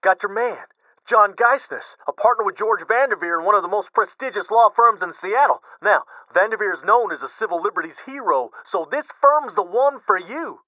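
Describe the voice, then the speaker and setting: A man's voice: high-pitched, animated, normal speed, sharp tone, dry recording, pitched above the performer's own voice, rough recording. A man doing a hearty blunt lawyer. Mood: upbeat. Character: blustering middle-aged male Quaker lawyer friend.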